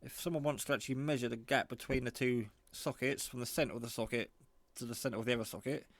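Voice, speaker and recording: A man giving a casual, off-the-cuff product demo, rambling in a low-key way as he thinks aloud. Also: fairly even intonation, fast talker, no echo. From England. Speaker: hobbyist reviewer.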